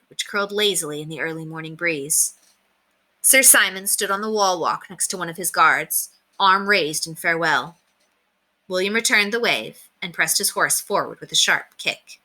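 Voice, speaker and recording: A woman reading a children's book aloud as an expressive storyteller. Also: on the low side.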